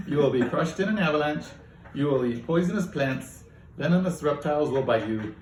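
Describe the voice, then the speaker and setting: A man voicing a gloomy male AI companion that flatly lists every way his owner will die. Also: fairly high pitch, a little fast, echoey room, a little hiss or hum, voice pushed up, lightly acted, low-quality recording. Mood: morbid. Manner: flat, relentless listing of deaths.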